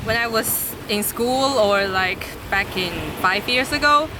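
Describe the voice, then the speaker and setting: A woman's voice: on the high side, slow. A young woman from Taiwan with a Taiwanese accent, answering casually in hesitant non-native English. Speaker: interviewee, beach cleanup participant. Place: outdoors at a beach. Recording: very noisy outdoor field recording.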